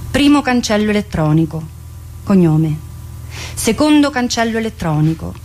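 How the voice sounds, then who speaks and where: A woman's voice: medium pitch, sing-song, moderate pace, a little mellow, a little hiss or hum. A woman, an actress, reciting a theatrical monologue, in short clipped fragments. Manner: clipped, listing sensory fragments.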